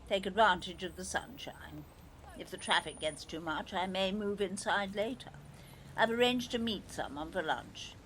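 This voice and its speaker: A woman's voice: fairly low pitch, moderate pace, fairly bright tone, slight background noise, rough recording. An actress playing a haughty, disapproving grandmother in an audio play, with imperious, complaining, formal speech. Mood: brusque, demanding. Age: older.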